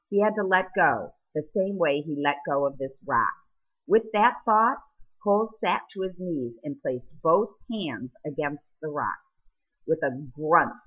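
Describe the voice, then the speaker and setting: A woman narrating a novel aloud, reading in measured phrases that trail off softly at the ends. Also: low-pitched, slightly rough, lo-fi sound. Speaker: audiobook reader.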